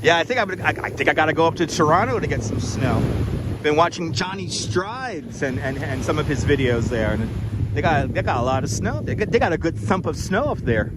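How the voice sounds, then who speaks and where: A man hosting a relaxed walking livestream outdoors, chatting easily and greeting viewers by name. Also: fairly high pitch, a little fast. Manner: easygoing, greets viewers by name, chuckles often. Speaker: livestream host. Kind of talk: casual walking livestream chat. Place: outdoors on a windy beach boardwalk. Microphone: wireless clip-on mic. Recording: wind noise on outdoor mic. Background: wind and crashing waves.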